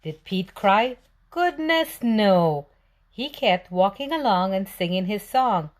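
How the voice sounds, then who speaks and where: A woman's voice: medium pitch, expressive, slow. A woman reading a storybook aloud for young children, expressively asking questions and answering them. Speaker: children's read-aloud presenter.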